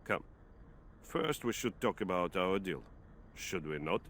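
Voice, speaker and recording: An actor playing a scheming army officer who talks in a silky, mocking, unhurried way. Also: male voice, low voice, lively intonation, a bit bright, exaggerated voice. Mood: menacing. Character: scheming male army officer, smooth menacing villain.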